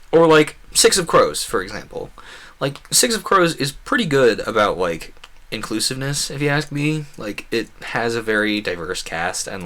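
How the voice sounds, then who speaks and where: A man with a bright, forceful voice talks as a livestream host in a casual, rambling way. He is earnest and opinionated, often slipping into imagined quotes to make a point. His pitch sits in an average male range.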